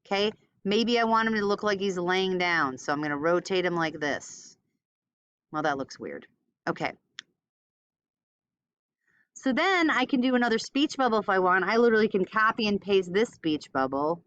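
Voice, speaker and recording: Woman, smooth voice, mid pitch, informal tutorial teacher, thinks aloud, dull computer-mic sound. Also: lively intonation, normal speed, a bit bright, no echo. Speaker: teacher giving a software tutorial. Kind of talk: casual screen-recorded step-by-step tutorial.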